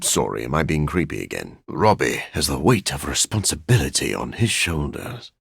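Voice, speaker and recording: An actor playing a brooding, awkward vampire scientist in a video game, with a formal, dry-witted, deadpan delivery and a husky, gravelly voice. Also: male voice, rumbling low, normal speed, no echo, full character voice. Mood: dry, weary.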